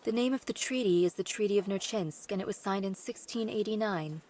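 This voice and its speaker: A man voicing a calm, aloof schoolgirl who is a top student, curt and polite, in a visual novel. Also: sits high, fairly quick, pitched above the performer's own voice. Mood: composed, curt.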